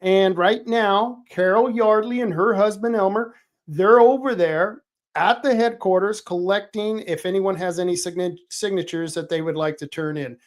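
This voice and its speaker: A middle-aged man with a somewhat high voice hosts a political livestream. He talks casually, with many restarts and fillers. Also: moderate pace. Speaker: political talk show host.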